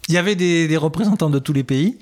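A man's voice: a little high, fast talker. A man hosting an interview, asking friendly, prompting questions. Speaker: interviewer host. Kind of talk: casual interview conversation.

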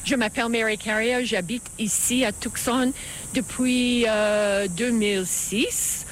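A woman's voice: a little high, fairly even intonation, slow. An older woman from Canada, a local guide, chatting casually as an interview guest. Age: older, retired. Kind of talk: casual chat. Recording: hissy location recording with clear noise.

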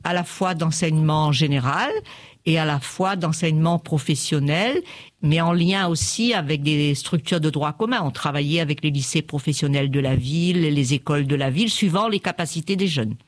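Older nun giving a steady account. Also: female voice, low-pitched, normal speed. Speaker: nun, former school director, interviewee. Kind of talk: factual life account.

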